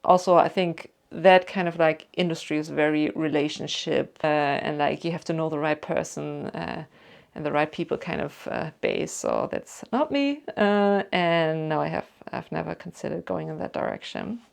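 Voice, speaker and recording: Low, gravelly woman with a German accent. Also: steady tone, moderate pace. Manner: chatty and rambling, with many "like" and "um" fillers. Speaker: vlogger answering viewer questions. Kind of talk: casual Q&A chat to camera.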